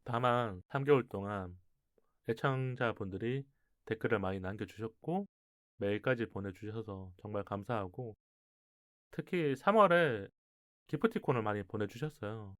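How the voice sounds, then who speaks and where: A man's voice: lowish voice, normal speed, no echo. Dry, close-sounding male radio host. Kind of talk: conversational radio hosting.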